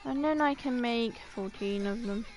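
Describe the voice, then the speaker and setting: A woman's voice: fairly high pitch, moderate pace, a little mellow. A woman livestreamer chatting casually to herself in short phrases while playing a video game. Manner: muttering to self in short phrases. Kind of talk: casual gameplay chat, thinking aloud. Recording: music playing behind the voice.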